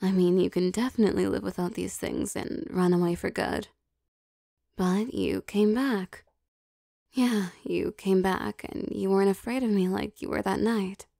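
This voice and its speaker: A woman voicing a possessive, teasing young vampire girlfriend in an intimate, soft ASMR roleplay, coaxing with hesitant pauses. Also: medium pitch, fairly even intonation, creaky, no echo, studio quality. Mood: affectionate, probing.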